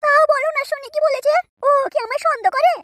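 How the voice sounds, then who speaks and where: Tiny-pitched bossy, flirty cartoon wife. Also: female voice, fast talker, a bit bright. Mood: coaxing, flirtatious. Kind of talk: comic cartoon dialogue. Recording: music bed behind the voice.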